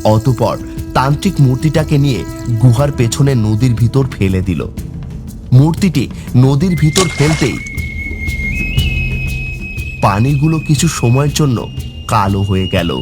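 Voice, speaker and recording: A man's voice: medium pitch, lively intonation, measured pace, long pauses. A man narrating a scripted horror tale as a storyteller. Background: music, cricket sounds.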